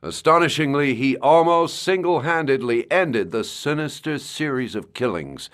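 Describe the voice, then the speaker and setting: A man's voice: mid-range voice, expressive, normal speed, fairly bright tone, close to an everyday voice. An actor playing a male TV talk show host, introducing a guest with showy, emphatic presenter delivery.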